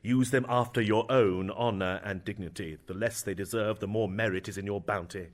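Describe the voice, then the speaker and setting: A man playing a brooding, grieving young prince in a Shakespearean drama, with a theatrical, emphatic delivery that moves between hushed and declaiming. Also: lowish voice, fairly even intonation, moderate pace, lightly acted. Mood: courteous, commanding.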